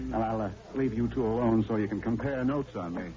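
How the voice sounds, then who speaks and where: A man's voice: fairly low pitch, moderate pace, noisy background, natural voice. A man acting as a wry, world-weary charmer in an old radio drama, easygoing and sardonic. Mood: genial. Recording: muffled vintage radio recording.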